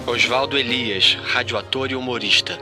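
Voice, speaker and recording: An actor playing a grave Brazilian official announcer in a radio drama, reading names in a solemn, clipped way. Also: male voice, mid-range voice, moderate pace, music in the background, close to an everyday voice.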